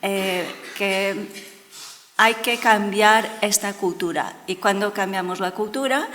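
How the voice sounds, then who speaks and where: Brazilian-accented woman, conference speaker, slow measured delivery, earnest and emphatic, long hall reverb. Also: mid-range voice, fairly bright tone, slight background noise. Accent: Brazilian Portuguese. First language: Portuguese. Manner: earnest and emphatic, repeats words for stress. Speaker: education speaker and consultant. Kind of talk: conference talk.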